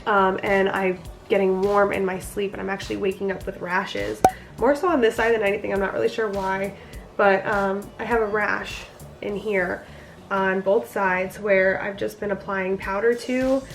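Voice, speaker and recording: A woman's voice: medium pitch, flat delivery, normal speed, vocal fry, soft background music. Nasal young woman chatting to camera. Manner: chatty, rambling, frequent fillers. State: nasal from a cold. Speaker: vlogger. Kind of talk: casual pregnancy update vlog.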